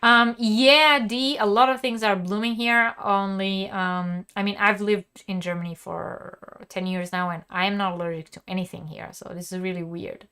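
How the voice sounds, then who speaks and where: A woman's voice: mid-range voice, steady tone, normal speed, few pauses, sharp tone, clean tone. A woman teaching crochet on a live stream, chatty and laughing, who says she is losing her voice and keeps coughing. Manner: chatty and laughing, with many fillers and restarts. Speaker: crochet teacher and channel host. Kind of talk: live-streamed craft lesson, answering chat.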